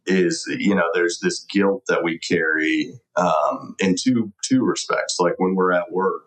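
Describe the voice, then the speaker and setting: A man's voice: low voice, measured pace, fairly bright tone, rough recording. A middle-aged man answering conversationally as an interview guest, earnest and reflective. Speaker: podcast guest, lawyer.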